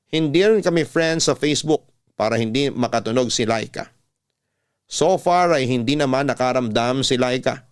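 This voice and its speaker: A man narrating a sentimental confessional story in a measured, scripted radio drama style. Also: medium pitch, clean tone. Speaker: storyteller host reading a listener's letter.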